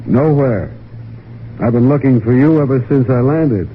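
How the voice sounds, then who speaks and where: An actor playing a bitter, suspicious husband in an old-time radio mystery, with clipped, sardonic tough talk. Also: male voice, medium pitch, measured pace, natural voice. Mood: wry, wistful. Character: bitter, wry returned husband, hard-boiled and suspicious. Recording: tinny, noisy vintage radio recording.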